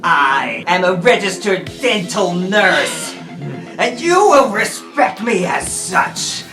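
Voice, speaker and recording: Man putting on a pompous, high-pitched outraged villain. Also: lively intonation, reverberant, music in the background. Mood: indignant. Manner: pompous, indignant, drawn-out words. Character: flamboyant outraged dental-tech villain, indignant and whining.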